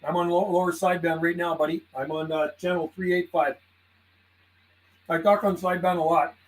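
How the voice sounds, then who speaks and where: A man's voice: high voice, level tone, a little fast, slight background noise, poor audio. A man chatting casually and making radio calls on a live stream, easygoing and friendly, often saying man and buddy. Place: radio room inside his house.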